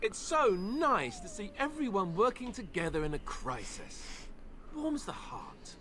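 A man's voice: sits high, big pitch swings, unhurried, pushed and bright, exaggerated voice. An actor playing a deferential young male warrior in a video game, with a wry, conversational manner. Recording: clear background ambience noise.

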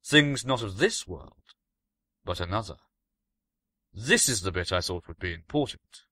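A man acts out a grave, learned countryman from a gothic storybook, full of secrets. The voice is bright and emphatic, with wide swings of intonation and a portentous air. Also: low-pitched, lively intonation, a little slow, no echo, exaggerated voice. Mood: ominous.

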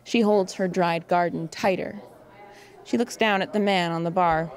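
A woman's voice: lowish voice, fairly even intonation, moderate pace. A woman reading scripted narration and stage directions for an audio drama.

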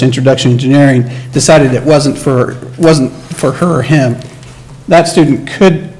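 A man presents a new course plan to a school board in a measured, formal way, with frequent uh fillers and restarts. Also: medium pitch, darkish tone, poor audio. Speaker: career and technical education presenter. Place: school board meeting room.

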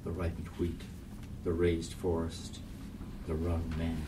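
A man's voice: low voice, completely flat delivery, slow pace. A man reading a literary poem aloud as part of a group reading. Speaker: poetry reader. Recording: distant mic, faint background hiss.

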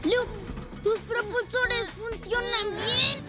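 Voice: very high voice, slow pace. A performer voicing an eager, brave young rescue motorbike boy in a children's cartoon. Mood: excited, urgent. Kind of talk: animated children's cartoon dialogue. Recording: music playing behind.

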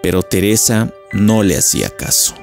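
A man's voice: fairly low pitch, animated, normal speed, vocal fry, a little mellow, soft background music. A man narrating a local legend as scripted storytelling.

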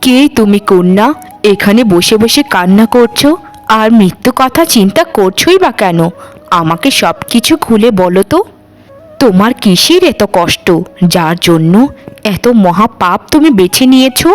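A woman voicing a solemn female water spirit in a cartoon, formal and gently admonishing as she questions. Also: mid-range voice, expressive, measured pace, faint music behind, lightly acted. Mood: solemn questioning.

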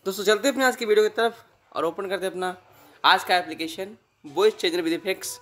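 A man's voice: high voice, a little fast, a bit bright. An Indian man with a sore throat, giving a casual app tutorial as the host.

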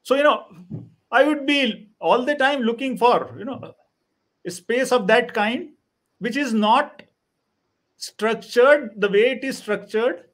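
A woman speaking as a panelist in a reflective discussion on a video call, halting and listing words one by one. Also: fairly high pitch.

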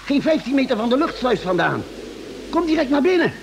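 High-pitched, worried spaceship doctor. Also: male voice, big pitch swings, fairly quick, creaky, heavily acted. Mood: alarmed. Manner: earnest, urgent, hesitating at times. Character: worried spaceship doctor, a man, taking command. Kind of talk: old Dutch radio drama acting. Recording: noisy vintage radio recording.

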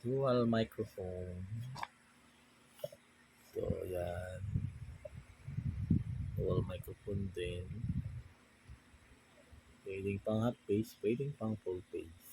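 A Filipino man talks through a product install in a casual vlog style. His voice is on the low side and very slow, coming out in informal, piecemeal remarks. Clear background noise sits around the recording. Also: mellow. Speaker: vlogger demonstrating a product install. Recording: clearly noisy ambient background.

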